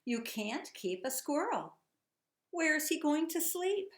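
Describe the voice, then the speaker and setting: A woman's voice: sits high, sing-song, slow, pitched above the performer's own voice, exaggerated voice. Woman doing a worried, emphatic old grandad, roomy sound. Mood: exasperated.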